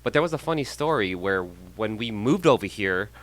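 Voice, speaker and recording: A man's voice: on the low side, animated, fairly bright tone. A man in his thirties from San Jose, California, a real estate agent talking casually as a podcast guest with plenty of ums. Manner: friendly, measured, with frequent ums and small laughs.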